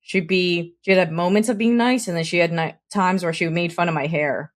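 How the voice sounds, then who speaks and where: A woman in her thirties with a somewhat low voice, chatting easily on a casual podcast. She reminisces in long, rambling stretches. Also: fairly even intonation, moderate pace. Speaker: podcast co-host. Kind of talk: casual chat.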